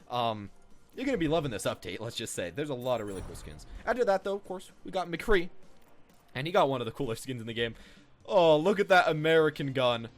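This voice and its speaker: A man giving casual, unscripted, rambling commentary as the host of a gaming video. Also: medium pitch. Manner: rambling, informal.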